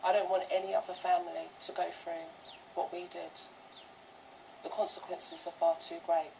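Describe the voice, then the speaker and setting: A middle-aged British woman giving a measured testimonial, as a mother and campaigner. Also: on the low side, little pitch movement, vocal fry, dark tone. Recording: very noisy, distant screen playback.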